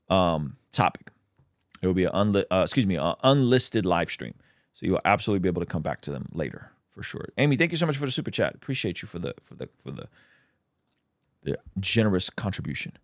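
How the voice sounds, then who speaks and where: A man's voice: sits low, sing-song, brisk, no room sound, clean studio sound. A man, a native Russian speaker, hosting a casual livestream where he reads out chat questions and answers them with plenty of ums.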